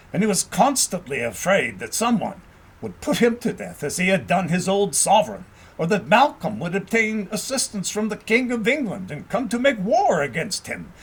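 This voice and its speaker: High-pitched, animated male storyteller with husky edge. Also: poor audio. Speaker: audiobook narrator. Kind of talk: scripted storybook narration of a historical tale.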